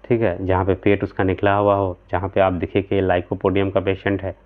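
A man's voice: low-pitched, completely flat delivery, moderate pace. A man in his thirties explaining homeopathic remedies to camera in an earnest, explanatory way, often checking in with his listeners. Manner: earnest, explanatory, gesturing with both hands, frequent "theek hai" check-ins. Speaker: homeopathic practitioner. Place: small room at home, indoors. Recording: tinny, phone-like sound.

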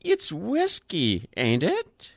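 Casting: man playing a frail old gent, thin piping quaver, high and rambling, storybook reading. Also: wildly swooping pitch, extremely slow, vocal fry, dry recording, studio quality, full character voice. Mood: faint, wondering. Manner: rambling, chatty.

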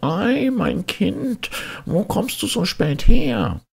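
Guy doing a kindly old granny from a fairy tale, voice way up high, worried. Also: sing-song, extremely slow, vocal fry, slightly rough, heavily acted. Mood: surprised. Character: kindly old woman of the forest, worried helper.

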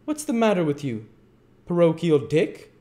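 A man voicing a pompous, angry parish beadle in a storybook, speaking with loud, imperious self-importance. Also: a little high, animated, a little slow, pitched above the performer's own voice. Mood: pompous, prodding.

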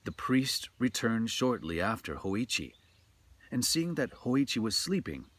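A man's voice: mid-range voice, sing-song, measured pace, husky, no room sound. A middle-aged man reading a ghost story aloud in a dramatic, scripted storytelling style. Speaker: storyteller, narrator. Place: outdoors in a forest.

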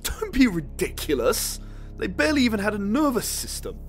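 Arrogant young student, animated voice. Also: male voice, high-pitched, fairly quick, soft background music, exaggerated voice. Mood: outraged. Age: young adult. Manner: scornful, insistent. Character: arrogant, callous young male science student with a temper. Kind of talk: full-cast sci-fi audio drama.

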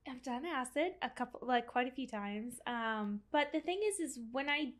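A young woman chats as a podcast guest, her voice soothing and pleasantly cheery without being overdone. It sits a bit on the high side and stays gentle and easygoing. She is close to a studio microphone. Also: animated, moderate pace. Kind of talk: casual chat. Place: decorated studio set. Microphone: studio mic on boom arm.

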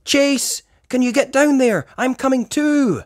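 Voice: high-pitched, measured pace, dry recording, studio quality, pitched above the performer's own voice. A storybook reader voicing a confident, encouraging boy who leads rescue pups. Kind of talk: children's storybook character voice.

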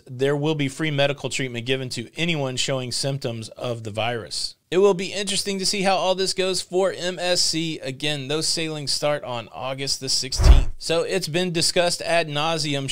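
A man in his forties giving a steady, matter-of-fact news update as a vlog host in a home studio. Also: medium pitch, clear, resonant tone. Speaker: cruise news vlogger. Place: home studio with purple lighting. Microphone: podcast mic in front.

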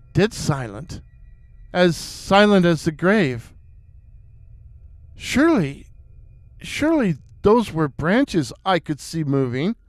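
A man's voice: high voice, no room sound, clean studio sound. A man narrating a first-person horror story in a suspenseful way, repeating words for effect.

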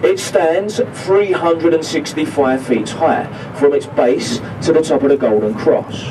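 A man's voice: fairly high pitch, a little slow. A man on a river boat crew giving chatty, jokey tour commentary over a handheld microphone. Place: open deck of a river boat, outdoors. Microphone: handheld microphone over a boat speaker, filmed from a distance. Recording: distant PA voice with boat noise.

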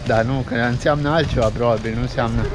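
A man's voice: mid-range voice, fairly even intonation. A man in his thirties hosting a casual travel vlog, walking through a street market and pointing things out to viewers on a handheld camera. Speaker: travel vlogger host. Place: outdoor street market in a town. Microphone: handheld action camera at arm's length. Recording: outdoor street noise. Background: street traffic and town noise.

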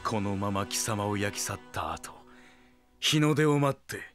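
A tough, coolly defiant teenage hero in an anime audio drama. His voice is low and gruff, with terse, deadpan phrasing. Music plays clearly behind him. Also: unhurried. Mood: cold, defiant. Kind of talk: Japanese anime-style audio drama acting.

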